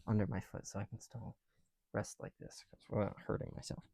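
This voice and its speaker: A young man chatting casually in soft, breathy ASMR style, often dropping to a whisper, slightly breathless behind a mask, with a rambling, self-deprecating manner. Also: fairly low pitch, level tone, fairly quick, slight background noise. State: somewhat breathless through a heavy mask. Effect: speaking through a mask. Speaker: ASMR video creator. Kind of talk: ASMR, casual chat while building bricks. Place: indoors, at home.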